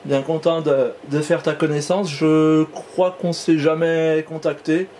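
Higher-pitched man chatting, hesitant delivery. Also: level tone, measured pace, warm tone, a little hiss or hum. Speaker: amateur radio operator, channel host. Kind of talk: casual radio contact chat.

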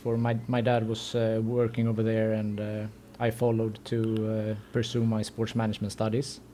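A man with a Swedish accent answering casually and haltingly as a podcast guest. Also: lowish voice, monotone, mellow, a little hiss or hum. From Sweden.

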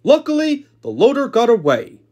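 A man voicing a cartoon steam lorry who tells a spooky tale in a measured storytelling manner. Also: very high-pitched, wildly swooping pitch, dark tone, full character voice. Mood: ominous. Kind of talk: cartoon character voice reel.

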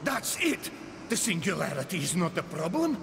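An actor playing an earnest, desperate rebel scientist in a video game, urgent and imploring. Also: male voice, high voice, big pitch swings, normal speed, vocal fry, noisy background, exaggerated voice. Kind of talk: video game cutscene acting. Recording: loud dramatic music behind.

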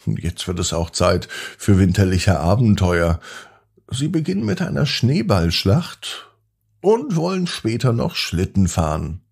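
A man narrating a bedtime story for young children in an expressive, gently drawn-out storytelling manner. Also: low-pitched, vocal fry, husky.

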